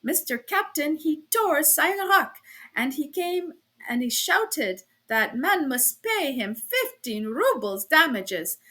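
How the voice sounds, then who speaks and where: A woman's voice: sits high, big pitch swings, pushed and bright, voice pushed up, full character voice. A woman doing a pattering German landlady. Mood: indignant. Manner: rapid pattering, protesting. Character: flustered German landlady, fawning and talkative.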